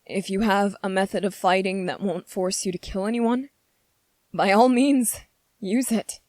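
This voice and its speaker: A woman playing a gentle, anxious young prince in an ASMR roleplay, speaking in a hesitant, earnest and pleading manner. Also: mid-range voice, fairly even intonation, measured pace, no echo, clean studio sound, close to an everyday voice. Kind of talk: ASMR roleplay, scripted character acting.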